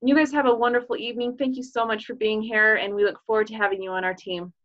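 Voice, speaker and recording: A woman's voice: a little high, steady tone, quick pace, a bit bright, lo-fi sound. A woman giving an earnest, upbeat recruiting presentation over a video call, with casual fillers. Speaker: business presenter.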